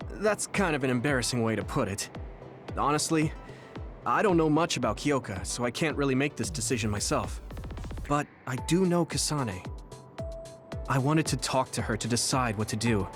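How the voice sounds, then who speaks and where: An actor playing an earnest, trusting young soldier in an English game dub, speaking with soft sincerity. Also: male voice, medium pitch, natural voice.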